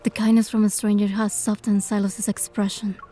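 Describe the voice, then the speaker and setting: Gentle young game heroine, mellow voice, woman acting. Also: mid-range voice, level tone, fairly quick, lightly acted. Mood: tender, narrating. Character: gentle, curious young woman narrating her date. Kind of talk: scripted first-person game narration and dialogue.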